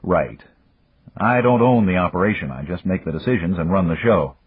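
A man's voice: low voice, lively intonation, normal speed. A man voicing a weary, blunt middle-aged American businessman who tends to mutter. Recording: dry, phone-like, husky.

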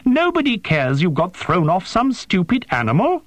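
Fussy audiobook robot drone: high-pitched, sputtering, indignant, wildly swooping delivery. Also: male voice, wildly swooping pitch, normal speed, no room sound. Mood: yelping impatience. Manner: exasperated, sputtering, scolding. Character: fussy, shrill, indignant male drone machine. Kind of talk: audiobook character voice.

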